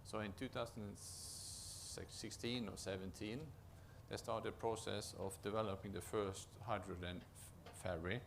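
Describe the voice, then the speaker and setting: A man with a low, gravelly voice and a Norwegian accent gives a conference presentation. He speaks haltingly, often stopping to restart and repeat words. His voice is deep and creaky throughout. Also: steady tone, background noise. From Norway. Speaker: project manager presenting at a conference.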